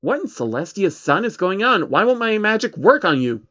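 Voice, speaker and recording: Man voicing bookish female unicorn, explanatory, easily irked, highish pitch, storybook, lo-fi. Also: very animated, normal speed, sharp tone, full character voice. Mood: puzzled, explaining.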